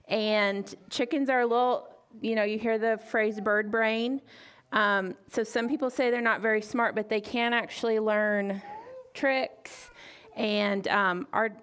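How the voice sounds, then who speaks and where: A woman giving an informal explanation to children as a guest speaker, in a casual way with frequent hesitant pauses. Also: medium pitch, a bit bright, smooth. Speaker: guest chicken keeper and parent. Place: library story time room.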